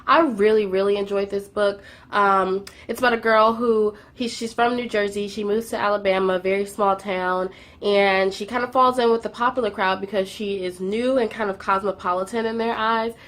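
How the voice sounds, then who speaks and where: A woman's voice: mid-range voice, steady tone, moderate pace, a bit bright. A young woman chatting through her monthly book wrap-up, a bit congested from a cold, with frequent "um"s and words doubled for emphasis. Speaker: book vlogger. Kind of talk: casual monthly reading wrap-up.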